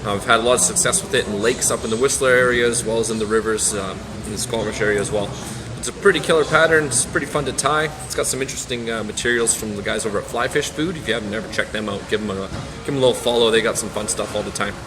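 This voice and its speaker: A young man with a hoarse voice demonstrating fly tying at a shop bench, talking casually with lots of ums. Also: lowish voice, fast, background noise. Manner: relaxed, chatty, lots of ums and uhs.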